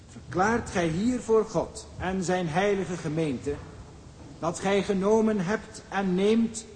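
An actor playing a solemn, formal minister reciting wedding vows in ceremonial style, in a drama. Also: male voice, high-pitched. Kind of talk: ceremonial declamation. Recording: echoey hall with long reverb.

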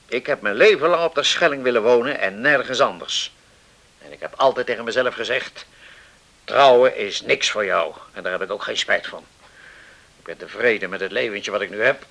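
Radio play role: contented middle-aged bachelor islander, creaky voice with a firm bright edge, calm, plain-spoken reassurer. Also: male voice, medium pitch. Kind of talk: Dutch radio drama acting.

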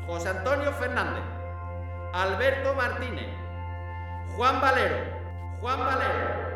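A man's voice: very high voice, animated, unhurried. A man playing a domineering naval ensign who barks orders at his crew, in an audio drama with music underneath. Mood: commanding. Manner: barking commands, contemptuous. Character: harsh male Francoist naval ensign, domineering. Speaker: officer giving orders.

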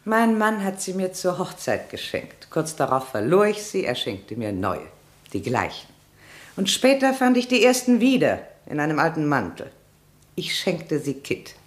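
A woman playing a poised, faintly mocking society lady in a German crime radio play, answering with arch, expressive composure. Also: sits low, unhurried. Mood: arch, composed.

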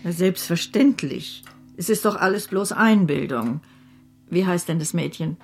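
Imperious, brusque great-aunt. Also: female voice, lowish voice, animated, faint music behind. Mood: brusque, scornful. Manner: imperious, brisk, cutting. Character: domineering, brusque eccentric great-aunt, bossy but well-meaning. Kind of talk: German radio play acting.

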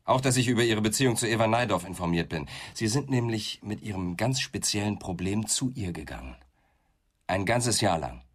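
Crime radio play: controlled detective interrogator, low register, dry, insistent, unrelenting. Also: male voice, expressive, normal speed. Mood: dryly insistent. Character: male police inspector, probing, controlled, relentless. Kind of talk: German radio crime drama acting.

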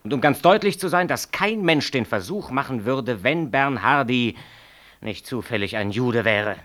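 An outspoken doctor, played by a man in a radio drama. His mid-pitched voice is insistent. He argues with combative emphasis. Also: animated, normal speed, a bit bright, natural voice. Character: combative, outspoken male doctor, loyal colleague. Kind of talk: 1960s German-language radio play acting.

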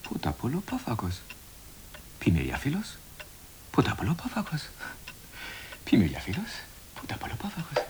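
An actor playing an absorbed, fussy laboratory researcher who mutters over technical terms in a radio drama. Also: male voice, medium pitch, wildly swooping pitch, normal speed, long pauses, a little hiss or hum. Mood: absorbed, muttering.

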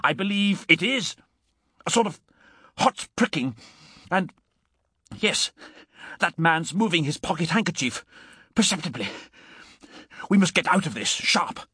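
Man playing a boyish, impulsive, excitable gentleman. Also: a little high, measured pace, pauses often, slightly rough, no room sound, studio quality, pitched above the performer's own voice, full character voice. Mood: alarmed. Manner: exclamatory, urgent Edwardian slang.

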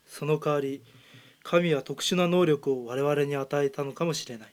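A man's voice: fairly high pitch, normal speed, natural voice. A man playing a narrator who recounts his telepathic people's history in scripted amateur radio drama.

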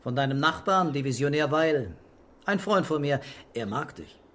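A man playing a laconic, calm police commissioner with a dry, provocative manner in a crime radio drama. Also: a little high, normal speed. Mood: coolly provocative.

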